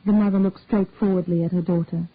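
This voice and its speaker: A woman calmly narrating a detective story in a measured reading voice. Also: on the low side, no echo. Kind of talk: audiobook-style story reading. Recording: dry, tinny phone-like sound.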